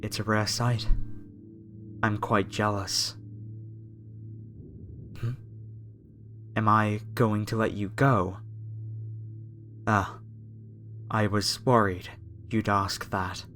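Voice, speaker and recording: Guy doing a creepy cult captor for horror asmr, really slow, coaxing and preachy, faint music behind. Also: lowish voice, flat delivery, fairly bright tone. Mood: musing, envious. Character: masked cult fanatic captor, reverent, menacing, falsely soothing. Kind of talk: horror ASMR roleplay, scripted monologue to the listener.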